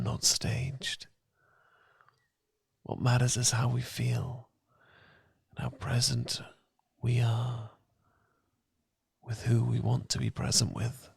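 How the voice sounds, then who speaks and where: Gravelly, breathy British man, soft ASMR. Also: mid-range voice, dry recording, studio quality. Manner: gentle, calming, intimate, unhurried. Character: loving, reassuring boyfriend. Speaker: ASMR roleplay performer. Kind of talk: romantic ASMR, soothing guided meditation. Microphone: close, dry ASMR miking.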